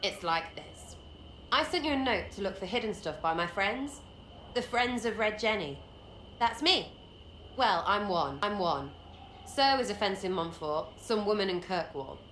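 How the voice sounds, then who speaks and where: Woman playing a lively, cheeky English rogue girl. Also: mid-range voice, close to an everyday voice. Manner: slangy, blunt, rambling, mocking. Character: cheeky, irreverent young rogue archer woman, scrappy commoner. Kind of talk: video game dialogue acting. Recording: roomy echo, faint background noise.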